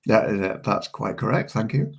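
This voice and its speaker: Husky-voiced man, hesitant guest. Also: on the low side, big pitch swings, normal speed. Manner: hesitant, many ums, earnest. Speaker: humanist celebrant, guest. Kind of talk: interview guest.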